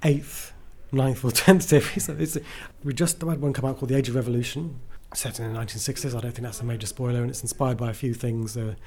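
Mellow-voiced man, rambling enthusiastically. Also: mid-range voice, fairly even intonation, fast, few pauses. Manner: enthusiastic, rambling, self-interrupting. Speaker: writer being interviewed. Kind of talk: casual interview talk.

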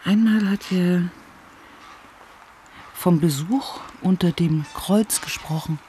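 A man's voice: sits high, slow, dark tone, slight background noise. An actor playing a probing, inquisitive man who speaks precisely and asks questions in radio play dialogue. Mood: probing, composed. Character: probing, inquisitive conversation partner discussing a client.

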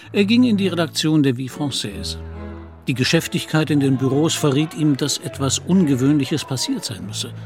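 Male literary narrator, medium pitch. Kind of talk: scripted literary narration for radio drama.

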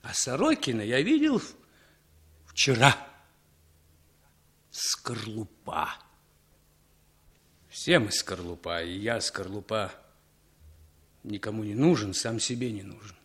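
An actor playing a bitter, drunken émigré and former prisoner of war in a 1960s Soviet radio play, brooding, halting and repeating himself. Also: male voice, fairly low pitch, sing-song, fairly bright tone, slightly rough, exaggerated voice. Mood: bitter, despairing.

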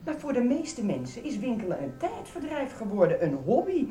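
An earnest female shelf stocker, naive and devoted to the rules. Her very lively pitch carries chatty recitals of store slogans, in a roomy radio play setting with faint music. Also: normal speed.

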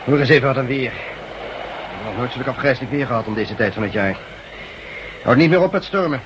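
Brisk, commanding camp chief, acted by a man. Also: medium pitch, lively intonation, lightly acted. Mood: brisk, grim. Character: gruff, domineering male camp chief barking orders. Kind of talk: Dutch radio drama acting. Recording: dull, very noisy old radio recording.

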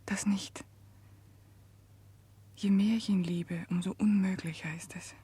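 A woman playing an anguished, self-denying prisoner in a 1960s German radio drama, breathy and often half-whispering. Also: medium pitch, steady tone, unhurried, warm tone. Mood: sorrowful.

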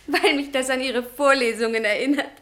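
A woman's voice: high-pitched, a little fast, a bit bright. An actress playing a young female student in a radio drama, courteous and lightly teasing. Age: young adult. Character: polite, playfully frank young female student.

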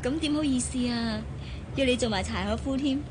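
A woman's voice: fairly high pitch, a little fast, background noise, close to an everyday voice. An actress playing a young working woman in a Cantonese radio drama, wistful, polite and bashful, with a Hong Kong accent. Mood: polite, friendly. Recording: radio drama with music underneath. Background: background music.

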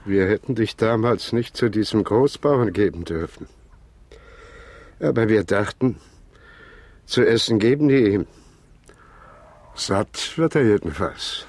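Slow, low-voiced old grandfather. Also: expressive, a little mellow, dry recording, heavily acted. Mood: resigned. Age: older. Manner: terse, reserved. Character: old grandfather, slow, taciturn, gruffly reserved. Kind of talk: German radio play acting. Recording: farmyard with clucking chickens. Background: chickens and birds.

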